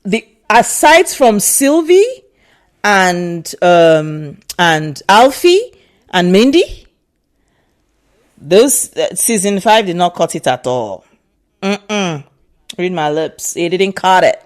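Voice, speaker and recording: Nigerian-accented woman, vlogger, lively swooping pitch, emphatic and repetitive. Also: slow pace. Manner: emphatic and animated, repeats herself for stress. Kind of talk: casual opinion vlog.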